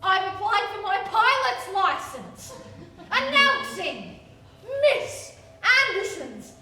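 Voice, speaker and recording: An eager young woman, high-voiced, echoey stage. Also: wildly swooping pitch, takes it slowly, pushed and bright, exaggerated voice. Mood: excited, determined. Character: Alice, eager bold young woman with flying dreams. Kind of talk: live stage radio play acting. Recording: distant, very echoey stage, faint noise.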